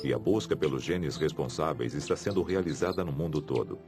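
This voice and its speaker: A man's voice: very deep voice, quick pace, over music. A man with a Brazilian accent reading scripted documentary narration.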